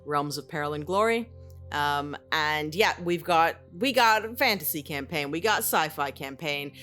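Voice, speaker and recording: A woman chatting casually as a podcast co-host, halting and self-deprecating. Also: deep voice, animated, a bit bright, faint music behind.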